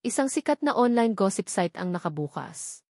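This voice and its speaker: A woman's voice: mid-range voice, big pitch swings, moderate pace, darkish tone, no echo, studio quality. A woman with a Filipino accent reads a scripted romantic drama story as its narrator.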